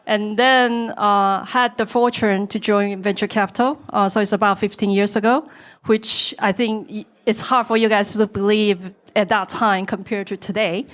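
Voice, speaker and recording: A woman from Japan who is a venture investor, introducing herself on a conference panel, hesitant, with many fillers and loose grammar. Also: medium pitch. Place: stage at a conference event.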